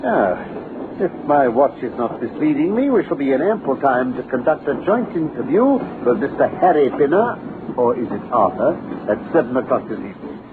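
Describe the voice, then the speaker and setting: An actor playing a brisk, commanding English gentleman detective with precise, authoritative speech in an old-time radio drama. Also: mid-range voice, very animated, noisy background, close to an everyday voice. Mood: brisk, assured. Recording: tinny old radio recording, music behind.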